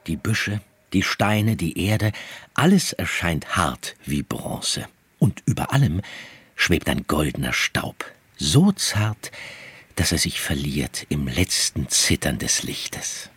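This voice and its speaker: A man narrating a radio drama in a measured, poetic, descriptive way, as a grave scene-setter. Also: sits low, very animated, fairly bright tone, slightly rough, heavily acted. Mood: hushed, descriptive. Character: grave, unhurried scene-setting male narrator.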